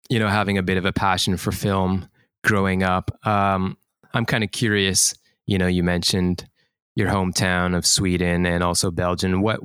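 A man hosting a podcast interview, chatty and relaxed, introducing his guest and asking questions. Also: low-pitched, clear, resonant tone, dry recording, studio quality. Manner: relaxed, chatty, uses fillers like "cool, cool". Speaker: podcast host.

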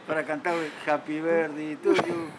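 A man with an Argentine Spanish accent, a clown and accordionist, answering interview questions haltingly and slipping into broken English. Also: a little high, little pitch movement, slight background noise, poor audio. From Entre Ríos province, Argentina. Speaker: street clown and accordion player being interviewed. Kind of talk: casual spoken interview.

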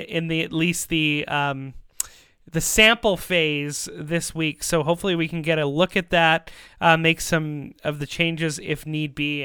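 A man's voice: a little high, sharp tone, clean tone, no room sound, studio quality. A man hosting a podcast, chatting casually in an upbeat, talkative way. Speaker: podcast host. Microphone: close microphone on a remote call.

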